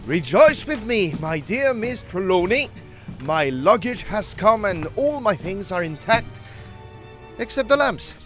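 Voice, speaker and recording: Exclamatory treasure-obsessed antiquarian, very high voice, drawn-out slow delivery, gothic drama, faint music. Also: male voice, very animated, a bit bright, full character voice. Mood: effusive. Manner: effusive, exclamatory. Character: excitable, theatrical gentleman antiquarian, obsessed with his treasures. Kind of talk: gothic audio drama acting.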